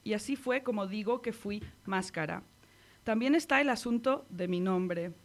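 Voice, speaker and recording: A woman from Spain with a Spanish accent, reading her own poem aloud on the radio in a measured, intimate way. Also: mid-range voice. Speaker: poet reading her work. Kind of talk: poetry reading.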